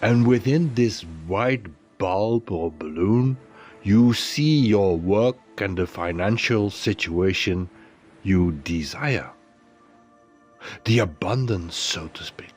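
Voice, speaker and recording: A man narrating a guided meditation in a soothing, deliberate way, leaving pauses between phrases. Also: on the low side, animated, darkish tone, faint music behind.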